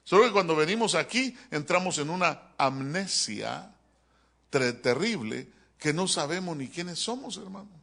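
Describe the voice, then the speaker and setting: A man preaching a sermon as a pastor, animated and joking, often addressing his listeners as brother. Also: on the high side, measured pace, creaky. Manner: animated, joking, keeps calling listeners "hermano". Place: church.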